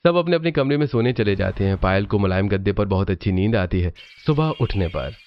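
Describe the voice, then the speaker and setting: Low-pitched male story narrator. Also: animated, brisk, highs cut off. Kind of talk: scripted story narration for a cartoon.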